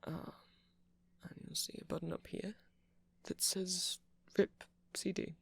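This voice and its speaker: A man playing a nerdy vampire in an ASMR roleplay, rambling through explanations in a hesitant, meandering way with a creaky voice. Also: high-pitched, little pitch movement, takes it slowly, fairly bright tone, dry recording, heavily acted. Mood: earnest explaining. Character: nerdy male vampire, rambling tech enthusiast, gentle boyfriend. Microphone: close mic, dry.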